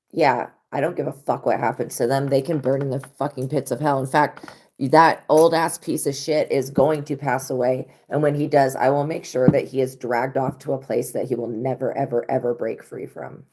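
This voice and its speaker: Hoarse, very low-voiced woman. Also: mellow. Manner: blunt, profane venting. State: hoarse, lost voice. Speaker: tarot reader hosting a live stream. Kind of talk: casual live-stream monologue.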